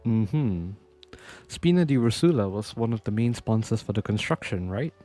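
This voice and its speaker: A woman streaming a game playthrough, reacting casually and softly to the dialogue. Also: a bass voice, lively intonation, normal speed. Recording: faint game music behind the voice.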